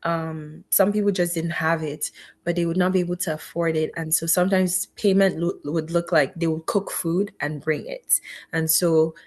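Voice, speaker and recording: Middle-aged woman, physician guest, earnest and faith-filled storytelling, low-pitched. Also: fairly even intonation, normal speed, a little mellow. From Cameroon. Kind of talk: personal story in an interview.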